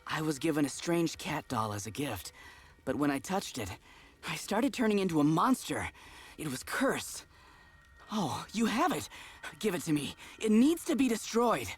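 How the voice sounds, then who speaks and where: An actress playing a desperate young musician in a fantasy game, husky and pleading. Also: female voice, low-pitched, big pitch swings, normal speed. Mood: pleading, urgent. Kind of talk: fantasy game cutscene acting.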